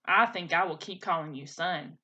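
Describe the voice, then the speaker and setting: A woman voicing a patient, protective robot mother in a storybook, with formal, measured, literal speech. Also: lowish voice, pushed and bright, highs cut off. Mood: gentle, steady.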